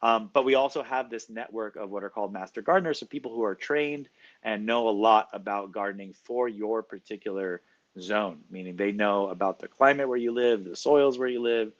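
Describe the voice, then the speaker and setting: A man answering interview questions as a professor, explaining at length in a measured way with frequent fillers. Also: lowish voice, lo-fi sound.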